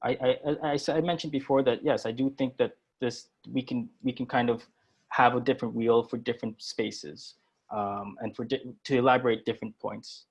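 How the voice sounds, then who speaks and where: A man's voice: medium pitch, steady tone, brisk, slightly rough. A man presenting and moderating an online workshop, speaking hesitantly with many fillers and restarts.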